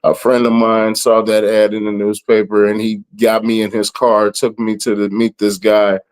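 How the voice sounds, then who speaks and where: A raspy man in his thirties from the southern United States, talking about his music in a relaxed, rambling way. His voice sits a little low, and he often repeats words and says "you know" as he goes. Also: normal speed. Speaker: rapper and event planner. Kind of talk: casual interview.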